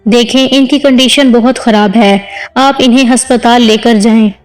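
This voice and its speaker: A woman's voice: fairly high pitch, steady tone, moderate pace, lightly acted, poor audio. A woman storyteller voicing a concerned, insistent female doctor in an audio story. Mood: grave concern.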